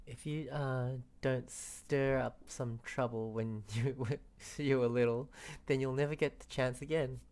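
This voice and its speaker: A woman's voice: very deep voice, fairly even intonation, a little slow, few pauses, darkish tone. A woman streamer giving casual, offhand, giggly reactions while playing a game. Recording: faint game music behind.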